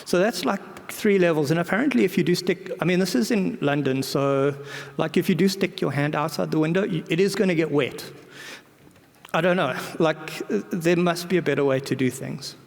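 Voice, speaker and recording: A man's voice: on the high side, moderate pace, gravelly. A man giving an informal conference talk in a conversational, wryly humorous manner. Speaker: conference speaker. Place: large indoor conference venue. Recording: echoey hall reverb.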